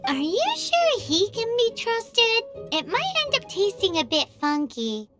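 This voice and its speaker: A woman's voice: very high-pitched, very animated, a little slow, over loud music, full character voice. A woman voicing a tiny, chirpy, cheeky fairy companion with a childlike manner in an anime video game. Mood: doubtful. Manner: speaks of herself in the third person, exclamatory.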